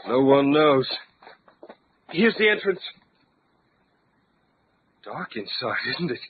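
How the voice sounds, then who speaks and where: An actor playing a nervous, well-spoken jungle explorer with a stammering, apprehensive manner in an old-time radio adventure serial. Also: male voice, mid-range voice, very animated, slow. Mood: uneasy. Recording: tinny band-limited radio recording, faint noise.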